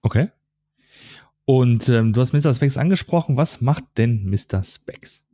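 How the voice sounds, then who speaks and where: Dark-voiced male podcast host, dry sound. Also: medium pitch, lively intonation, a little slow, dry recording. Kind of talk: podcast hosting.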